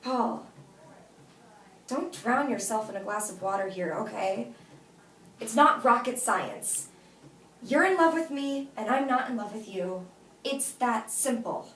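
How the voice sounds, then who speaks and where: A woman's voice: a little high, lively intonation, measured pace, long pauses, fairly bright tone. An actress playing a blunt, exasperated woman turning down a friend, speaking emphatically in a naturalistic drama read-through. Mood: dismissive. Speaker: actress at a script table read. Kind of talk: naturalistic film drama dialogue read aloud. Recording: roomy echo, distant mic, faint noise.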